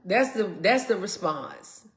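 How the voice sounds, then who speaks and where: A woman's voice: mid-range voice, lively intonation, slow pace, roomy sound. A congested woman co-hosting a casual live Q&A, reading viewer comments aloud. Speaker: co-host of a live Q&A stream. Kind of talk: casual live-stream chat.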